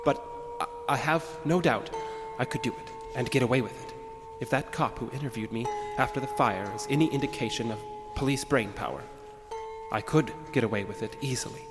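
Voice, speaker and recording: An actor playing an agitated, arrogant man with violent urges, narrating his journal in a deliberate, formal way for an audio drama. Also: mid-range voice, natural voice. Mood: scheming. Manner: pompous, deliberate, brooding, formal phrasing. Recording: long reverb, music underneath.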